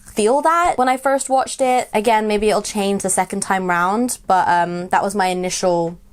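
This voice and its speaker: A woman's voice: medium pitch, moderate pace, clean tone. A young woman with a blocked nose giving bubbly, laugh-filled reaction commentary on a film. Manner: bubbly, laughs often, enthusiastic.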